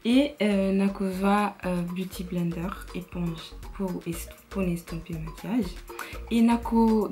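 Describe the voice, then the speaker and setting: A young woman with an Italian accent, chatting casually through a makeup tutorial in her bedroom, switching between languages with frequent fillers. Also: medium pitch. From Italy. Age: young adult. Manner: chatty, mixes French and Lingala, frequent fillers. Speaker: beauty vlogger. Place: bedroom, indoors.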